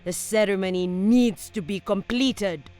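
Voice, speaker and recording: A woman playing a grave, cryptic sorceress who speaks in a solemn, ritualistic way in a dark sci-fi audio drama. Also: medium pitch, soft background music, lightly acted. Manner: slow, ritualistic.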